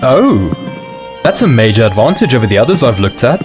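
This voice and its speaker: Animated actor as a demanding rich tech mogul. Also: male voice, medium pitch, a little fast, over music, lightly acted. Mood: entitled, probing. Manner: casual, entitled, probing. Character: wealthy, demanding male tech mogul shopping for a bunker. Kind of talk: audio drama dialogue.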